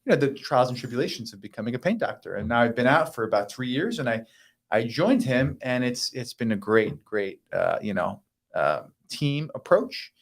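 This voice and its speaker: A man's voice: mid-range voice, big pitch swings, normal speed. A man in his thirties whose first language is Croatian, a pain doctor chatting as a podcast guest, enthusiastic with many fillers and restarts. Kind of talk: casual podcast conversation.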